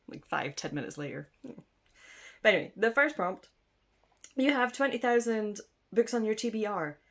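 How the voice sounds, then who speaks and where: A woman rambles casually at home, sniffling through a mild cold. Her voice sits fairly low, and she chats in a self-deprecating way, trailing off into ums and little laughs. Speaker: book vlogger. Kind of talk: casual rambling chat to camera. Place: indoors at home.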